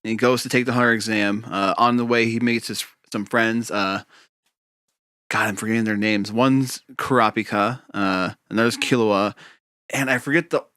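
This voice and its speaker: Bright-voiced man, wry and quick. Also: lowish voice, dry recording, studio quality. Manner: animated, quick explaining, wry asides. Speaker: podcast co-host. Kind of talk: casual chat.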